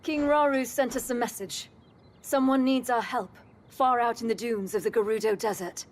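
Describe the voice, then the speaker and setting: A woman's voice: a little high, steady tone, moderate pace, slight background noise, lightly acted. A woman playing a frank young female warrior ally in a video game cutscene. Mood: concerned, urgent.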